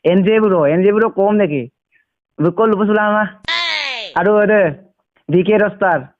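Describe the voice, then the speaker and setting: A man chatting casually on the phone, speaking haltingly and mixing in English words. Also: high voice, narrow, tinny audio.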